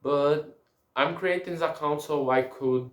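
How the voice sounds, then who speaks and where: A man's voice: fairly high pitch, unhurried, roomy sound. A man whose first language is Portuguese, speaking a casual language-learning diary and often restarting and searching for words.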